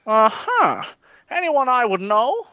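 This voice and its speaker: A woman's voice: on the high side, very animated, slow pace, pushed and bright, dry recording, dull audio, exaggerated voice. A woman playing a glib, evasive male detective with gambling debts, theatrical and breezy, in a comedic radio play.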